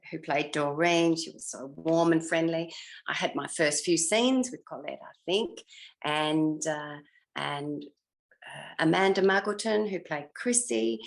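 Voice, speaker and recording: An older woman with a slightly low voice speaks as an interview guest looking back on her acting days. She is effusive in her praise and often pauses as she reaches for details. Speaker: interview guest, former TV actress. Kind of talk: reminiscing interview chat.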